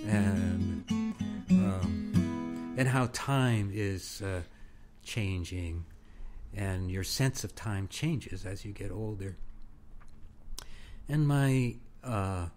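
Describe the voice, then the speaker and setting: A man in his sixties, a folk singer-songwriter, talking softly and hesitantly with many fillers. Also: on the low side, takes it slowly, long pauses. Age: 60s. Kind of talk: relaxed chat, then singing with guitar. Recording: guitar music behind.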